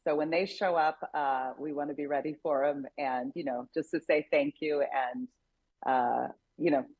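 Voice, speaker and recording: A woman's voice: sits low, fairly even intonation, moderate pace, husky, dry recording, phone-quality sound. A woman chatting casually and enthusiastically as an interview guest actress and producer.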